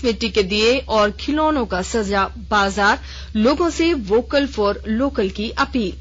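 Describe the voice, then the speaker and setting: A woman newsreader with a somewhat high voice who reads a bulletin in a formal, even, measured way. The sound is muffled and lo-fi, like an old radio broadcast. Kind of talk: read news bulletin.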